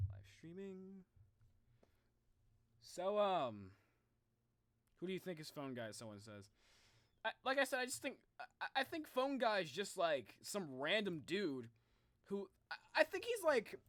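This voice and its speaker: A young man chatting casually to viewers on a livestream in an informal, animated way. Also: high-pitched, slow, long pauses, no echo. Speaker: live streamer.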